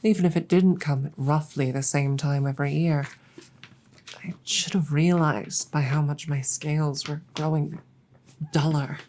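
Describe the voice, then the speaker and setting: A woman voicing an apologetic, self-blaming dragon girlfriend in a roleplay audio drama, speaking softly, tenderly and haltingly. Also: deep voice, steady tone, a little hiss or hum. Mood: guilty, apologetic.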